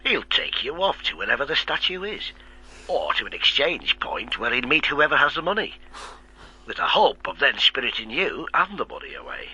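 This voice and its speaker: An actor in a radio drama playing a gruff middle-aged police inspector with a clipped, wry manner. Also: male voice, medium pitch, wildly swooping pitch, moderate pace, bright, forceful tone, muffled recording, exaggerated voice. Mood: dry, businesslike.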